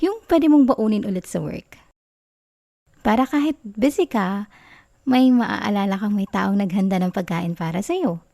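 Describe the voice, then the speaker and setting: A woman with a Filipino accent playing a sweet, bashful, doting girlfriend in an affectionate ASMR roleplay, talking softly and coyly in a giggly, tender way. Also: medium pitch, unhurried, pauses often, natural voice. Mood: happy, caring. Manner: soft, giggly, coy, tender.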